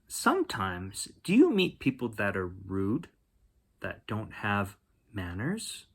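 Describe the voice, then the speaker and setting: Slow, animated man reading bedtime stories. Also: lowish voice. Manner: expressive, addresses young listeners directly. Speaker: children's story reader and host. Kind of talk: bedtime picture book read-aloud with chatty asides.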